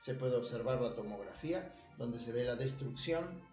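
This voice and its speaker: A man from Argentina with an Argentine accent, a surgeon presenting a clinical case in a measured, formal way. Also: medium pitch, lively intonation, echoey room, lo-fi sound, music in the background. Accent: Argentine Spanish. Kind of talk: recorded medical case presentation.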